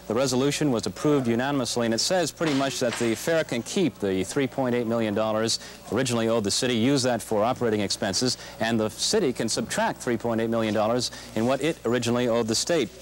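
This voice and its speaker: A man reporting live for television news from a council chamber in a matter-of-fact way. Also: mid-range voice. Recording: crowd chatter behind, local TV broadcast. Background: crowd murmur.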